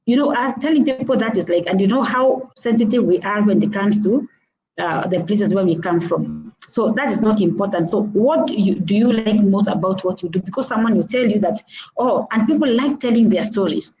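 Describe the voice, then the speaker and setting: Earnest Kenyan woman, warm mellow voice. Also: mid-range voice, a little fast, muffled recording. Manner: earnest and advising, repeating points with frequent "uh" hesitations. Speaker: motivational speaker. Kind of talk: instructive talk on networking. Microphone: video call.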